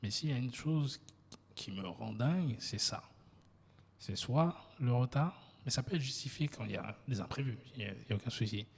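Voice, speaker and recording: Husky-voiced man hosting an interview. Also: mid-range voice, animated, moderate pace. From Ivory Coast. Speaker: podcast host. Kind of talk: casual interview. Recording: long hall reverb.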